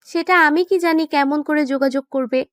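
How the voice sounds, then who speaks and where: A woman's voice: high voice, moderate pace, fairly bright tone, no room sound, clean studio sound. A woman playing a pouty, teasing young woman in love who scolds and complains in a romantic audio story. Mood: teasing, stubborn. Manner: complaining, playfully indignant.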